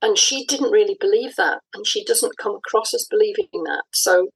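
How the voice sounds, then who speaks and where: A woman's voice: mid-range voice, moderate pace, creaky, slightly rough, low-quality recording. A woman in her sixties speaking as an interview guest, reflecting and explaining thoughtfully in a conversational way. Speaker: interview guest, audio drama writer.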